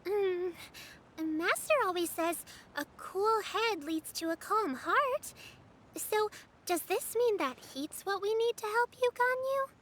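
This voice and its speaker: Very high-voiced caring little girl. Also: lively intonation, slow pace, a little hiss or hum, exaggerated voice. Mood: caring, earnest. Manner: sweet, solicitous. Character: earnest, caring little girl, polite apprentice. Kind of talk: video game dub acting. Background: loud background music.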